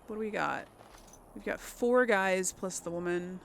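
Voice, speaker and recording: A woman's voice: medium pitch, a little slow, gravelly, a little hiss or hum. A woman giving casual game commentary to viewers, exclaiming and muttering her reactions as she plays. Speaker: let's play host. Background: game music.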